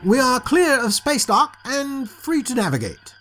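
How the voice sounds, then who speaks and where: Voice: high-pitched, very animated, unhurried, bright, forceful tone, faint music behind, full character voice. A performer playing a dutiful helm officer giving short reports in a science fiction audio drama. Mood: dutiful, reporting.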